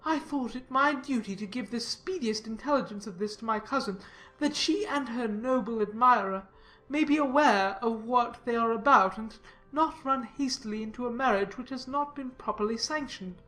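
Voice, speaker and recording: A woman's voice: fairly high pitch, fairly bright tone, faint music behind, lightly acted, poor audio. A woman voicing a pompous, sanctimonious clergyman reading out his formal letter in a dramatised audiobook. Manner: pompous, formal, deliberate emphasis.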